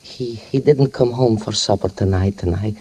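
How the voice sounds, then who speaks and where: A man's voice: lowish voice, mellow, slightly rough. An actor playing a shy, humble older male tailor who speaks hesitantly, in a 1940s radio drama. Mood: timid worried. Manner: hesitant, halting, apologetic. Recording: old radio broadcast recording.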